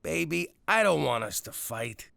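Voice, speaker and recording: A man voicing a gruff, blustery middle-aged father who owns a club and gives in to his daughter. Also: fairly high pitch, very animated, a little slow, dry recording, studio quality, full character voice. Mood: pleading. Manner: blustery, shouts when upset.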